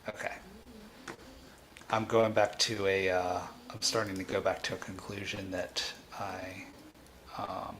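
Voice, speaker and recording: Slow, low-voiced man thinking aloud. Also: flat delivery, a bit bright, slight background noise, low-quality recording. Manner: halting, repeats phrases while reasoning aloud. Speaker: programming tutor and livestream host. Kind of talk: thinking aloud during live coding tutorial.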